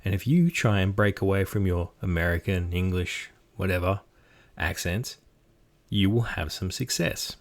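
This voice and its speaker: An Australian man with a fairly low voice who talks in a relaxed, conversational way. He is encouraging and pokes fun at himself as he explains game-mastering techniques. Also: normal speed. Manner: chatty, self-deprecating, encouraging. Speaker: game master giving tips. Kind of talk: casual instructional talk.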